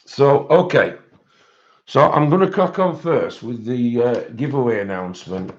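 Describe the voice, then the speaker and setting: A man with a British accent and a croaky voice, hosting a casual livestream and chatting with viewers, rambling with many fillers. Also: mid-range voice, expressive, a little slow. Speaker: livestream host. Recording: dull lo-fi stream audio.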